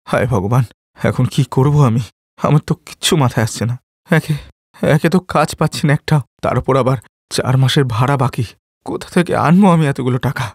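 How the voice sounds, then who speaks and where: Man acting as poor village father, humble, earnest, plaintive, medium-pitched, cartoon story, dry recording. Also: moderate pace, slightly rough, no echo. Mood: despairing. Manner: plaintive, earnest, repeats phrases.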